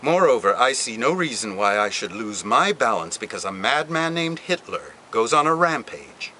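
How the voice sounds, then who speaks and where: A man reading a book passage aloud, with an expressive, deliberate delivery and dramatic pauses. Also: medium pitch, slight background noise. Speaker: reader. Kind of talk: literary reading.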